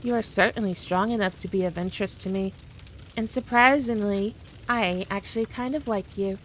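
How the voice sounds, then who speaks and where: A woman's voice: medium pitch, moderate pace, a bit bright, a little hiss or hum, close to an everyday voice, low-quality recording. A woman playing a powerful demon queen in a scripted roleplay, talking down to the listener in a teasing, dominant, condescending way. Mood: coaxing. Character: haughty, playful, all-powerful female demon queen.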